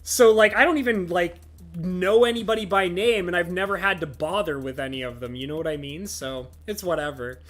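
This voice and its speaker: A woman's voice: on the low side, a little fast, soft background music. A woman chatting casually on a live stream, gushing, laughing often and swearing freely, with lots of filler words. Her voice is scratchy from laughing so hard. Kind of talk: casual livestream chat, reading chat messages.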